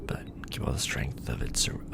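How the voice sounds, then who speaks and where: A man acting a wry, casual host of an alien symbiote in an ASMR roleplay, with a breathy, creaky voice. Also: moderate pace, close to an everyday voice. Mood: troubled. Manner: casual, wry, hesitant in explanations. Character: weary, joking young man bonded to an alien symbiote.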